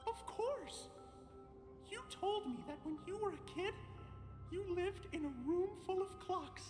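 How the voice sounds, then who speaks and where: A woman's voice: extremely high pitch, animated, measured pace. A woman voicing a childlike, cheerful and devoted cartoon clock companion in a video game. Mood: gentle, bright wonder. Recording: long hall reverb, loud game music.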